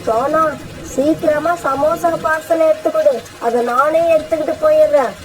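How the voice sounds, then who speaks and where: High-voiced indignant cartoon eagle, played by a woman. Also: fairly even intonation, moderate pace, close to an everyday voice, rough recording. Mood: suspicious. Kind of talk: dubbed cartoon dialogue.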